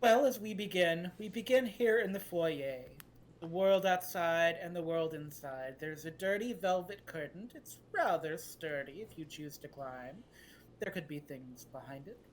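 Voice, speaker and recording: A woman's voice: lowish voice, unhurried, slight background noise. A woman acting as game master, playfully setting the scene of a tabletop horror game.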